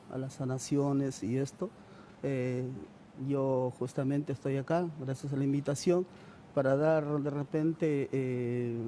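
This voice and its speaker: A man with a Peruvian accent speaks as a spiritualist on a TV panel. His voice is mellow and slightly high. He is polite and hesitant, and faint hiss sits behind him. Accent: Peruvian Spanish. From Peru. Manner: polite, hesitant, many fillers. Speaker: astrologer and spiritualist, panel guest. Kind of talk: studio panel discussion.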